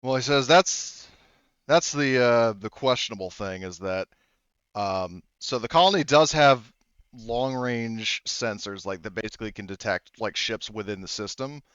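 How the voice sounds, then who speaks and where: A man working as game master, narrating a tabletop game off the cuff in a halting, rambling, self-correcting way. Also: lowish voice, fairly bright tone, clean tone, no echo, muffled recording.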